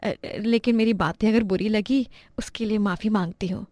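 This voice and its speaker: A woman's voice: mid-range voice, fairly even intonation, normal speed, mellow, no echo, studio quality, natural voice. A woman playing a scornful, harsh middle-aged employer in a story drama. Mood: apologetic. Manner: sneering, harsh and contemptuous.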